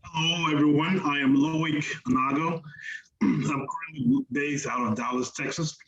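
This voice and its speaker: A man gives a brief, businesslike self-introduction on an alumni panel. His voice sits fairly high, and the audio sounds dull and lo-fi. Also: steady tone, measured pace, fairly bright tone.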